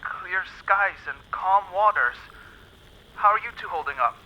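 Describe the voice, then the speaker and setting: An actor playing a loyal, easygoing spacecraft lieutenant in an audio drama, relaxed and kindly, heard through a radio filter. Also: male voice, high voice, unhurried, lo-fi sound, slight background noise. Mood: easy, friendly.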